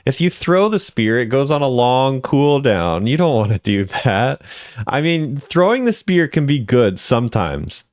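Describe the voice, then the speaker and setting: A man's voice: mid-range voice, sing-song, a little slow, no room sound, studio quality. A man hosting a gaming video, giving casual commentary with informal, musing reactions.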